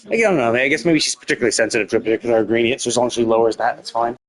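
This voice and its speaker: A man's voice: mid-range voice, very fast. A man casually commentating on a game as a live streamer, rambling and thinking aloud, bluntly and with some swearing. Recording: game music behind the voice. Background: game music.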